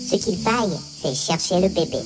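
A woman's voice: fairly low pitch, animated, normal speed, music in the background, lightly acted, low-quality recording. An actress playing a puzzled woman at a market who keeps asking questions. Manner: questioning, exclamatory. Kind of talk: stage drama.

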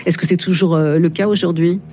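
A woman hosting a radio interview, asking long questions and often pausing to search for words. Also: fairly low pitch, steady tone, dark tone, soft background music. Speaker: radio host.

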